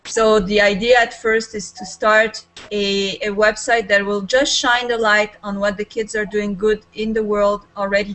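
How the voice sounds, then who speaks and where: A woman's voice: mid-range voice, a bit bright, rough recording. Woman, forties, Serbian accent, earnest enthusiastic talk, webcam video call, small home room. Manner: enthusiastic, gestures with both hands.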